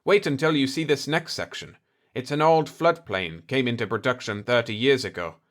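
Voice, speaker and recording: Man acting a gruff planetary boss, indignant, combative, blustering, sci-fi audiobook dialogue. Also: mid-range voice, moderate pace, a bit bright, natural voice. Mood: proud, bitter. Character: indignant, blustering cigar-chewing planetary chief of state, male.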